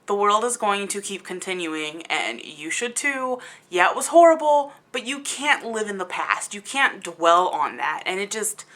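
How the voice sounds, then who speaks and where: Bright-voiced woman giving a blunt review. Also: mid-range voice, animated. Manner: exasperated, blunt, conversational with emphatic drawn-out words. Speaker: book reviewer hosting a video channel. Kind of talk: casual opinionated review to camera.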